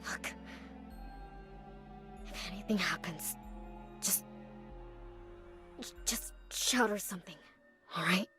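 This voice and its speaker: A woman's voice: lowish voice, slow pace, long pauses, over music. A woman playing an outspoken young woman in a visual novel, frightened and defiant. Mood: uneasy. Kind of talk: video game character acting.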